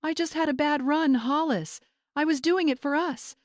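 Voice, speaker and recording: A scheming young bride from a melodramatic story, acted by a woman. Her voice jumps high and forceful. She is spiteful and defiant, recorded dry. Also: moderate pace, no echo, close to an everyday voice. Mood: panicked, pleading.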